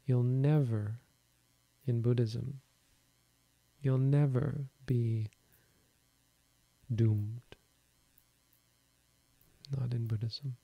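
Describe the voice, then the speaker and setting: Man, Buddhist teacher, quiet voice, slow and calm, gravelly, flat delivery. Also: medium pitch, no echo. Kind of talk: dharma talk.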